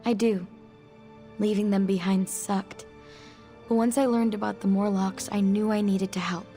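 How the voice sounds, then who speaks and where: Game role: woman voicing young female mutant outcast, candid and guarded, creaky yet clean-edged without being polished. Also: medium pitch, little pitch movement, normal speed, warm tone, soft background music, lightly acted. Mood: wistful, sincere. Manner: casual, candid, hesitant. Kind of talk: video game dialogue acting.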